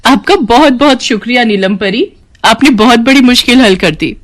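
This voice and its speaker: A woman's voice: a little high, expressive, moderate pace, fairly bright tone, voice pushed up. A woman voicing a kind young bride-to-be in a storybook tale, polite and earnest.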